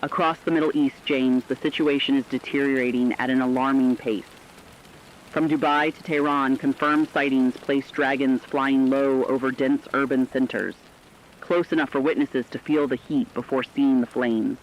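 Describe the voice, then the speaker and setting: A man's voice: mid-range voice, steady tone, moderate pace, slight background noise, natural voice. A man playing a serious radio correspondent, giving an urgent, dramatic scripted report on a disaster. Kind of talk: scripted breaking news report. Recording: dull lo-fi radio sound.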